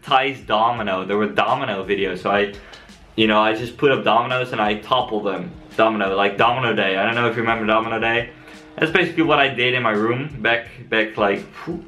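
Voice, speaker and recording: Young man, Dutch accent, bright forceful tone, casual, chatty, lots of fillers. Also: mid-range voice. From Netherlands. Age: young adult. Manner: relaxed and chatty, frequent fillers. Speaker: cycle-touring vlogger. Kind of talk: casual vlog Q&A.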